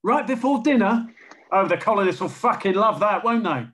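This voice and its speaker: Man acting an excitable, sarcastic high-pitched hothead. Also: normal speed. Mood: agitated, sarcastic. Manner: sarcastic, agitated. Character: hot-headed, sarcastic, foul-mouthed male colony security man. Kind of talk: screenplay table read.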